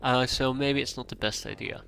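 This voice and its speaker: Bright-voiced guy with a stuffy cold, rambling thru his game stream, faint music behind. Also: mid-range voice, fairly even intonation, normal speed, creaky. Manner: rambling, thinking aloud, easily sidetracked. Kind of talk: live gameplay commentary, chatting with viewers.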